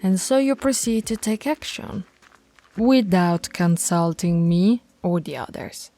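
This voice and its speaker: A woman's voice: on the low side, measured pace, mellow, soft background music. A woman voicing a stern, teasing alpha werewolf pack leader in an audio roleplay, authoritative and taunting. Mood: stern, scolding.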